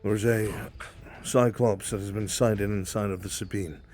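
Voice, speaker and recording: A low-pitched, commanding military marshal, acted by a man in an improvised dark fantasy roleplay. He speaks formally and with measured authority. Soft music plays beneath the voice. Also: fairly even intonation, natural voice. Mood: grave, commanding. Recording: background music under the voice.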